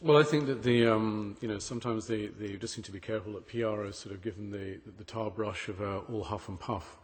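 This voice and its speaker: A man's voice: lowish voice, fairly even intonation, fairly quick. A man on a panel who works in marketing, answering hesitantly with a lot of fillers. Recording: long hall reverb.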